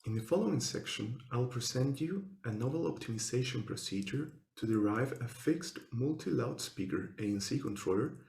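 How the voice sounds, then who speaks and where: A man giving a scripted technical presentation as a researcher, speaking formally and in measured, step-by-step phrases. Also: mid-range voice, lively intonation, vocal fry, darkish tone, reverberant, slight background noise.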